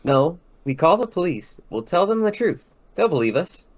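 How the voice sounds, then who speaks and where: A man's voice: high-pitched, big pitch swings, normal speed. A man playing an anxious, pleading young woman in an amateur script reading.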